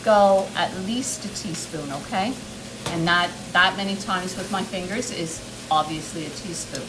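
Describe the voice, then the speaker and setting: A chatty woman with a mid-range voice demonstrates a recipe in a casual, instructive way. She sounds away from the mic in a roomy kitchen, with loud sizzling around her. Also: mid-range voice, distant mic, noisy background. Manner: chatty, instructive, often checks in with "okay?". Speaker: cooking show host. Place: indoor kitchen. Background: food frying and sizzling.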